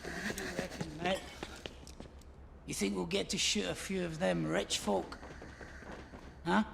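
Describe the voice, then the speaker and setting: An actor playing a coarse, trigger-happy working-class guard with a British accent in a video game. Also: male voice, sits high, fairly even intonation, a little slow, gravelly, a bit bright, slight background noise, heavily acted. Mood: eager, sneering.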